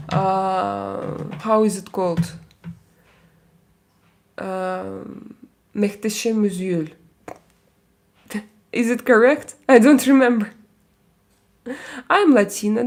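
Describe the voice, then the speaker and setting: A woman from Russia speaking English with a Russian accent, hosting a casual live stream and chatting with viewers, hesitant and full of pauses and fillers. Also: medium pitch, creaky, a little mellow. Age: adult with young kids. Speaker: livestream host.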